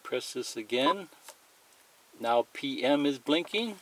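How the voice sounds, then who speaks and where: Clear background noise sits under a man's voice in an amateur recording. He talks slowly at a middling pitch, walking through a product in a casual, plain, step-by-step way. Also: expressive, a bit bright. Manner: plain-spoken, step-by-step explaining. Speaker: tutorial presenter. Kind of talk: casual hands-on product demonstration. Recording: clearly noisy amateur recording, clicks and beeps.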